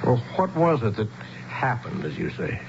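Expressive, bewildered American man, muffled radio. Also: mid-range voice, moderate pace. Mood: skeptical, puzzled. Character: bewildered, skeptical male outsider stranded in strange town. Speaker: actor and narrator. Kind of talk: 1970s radio drama acting and narration. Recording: muffled, noisy old radio broadcast.

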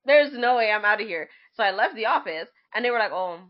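A woman's voice: mid-range voice, lively intonation, quick pace, muffled recording. A woman in her twenties chatting casually as a podcast co-host, telling anecdotes in a loose conversational way.

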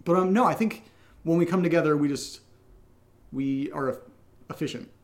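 A man's voice: on the high side, normal speed. A man co-hosting a podcast, reading out questions and chatting casually in a teasing, self-deprecating way. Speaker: co-host of an audio drama podcast reading listener questions. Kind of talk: casual unscripted Q&A chat.

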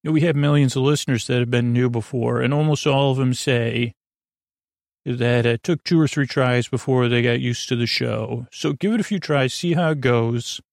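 A man hosting a bedtime podcast in a meandering, halting monologue, drawing out words, wandering into asides and sounding gently silly. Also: mid-range voice, vocal fry, no room sound, clean studio sound. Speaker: podcast host. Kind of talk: rambling bedtime podcast monologue to help listeners sleep.

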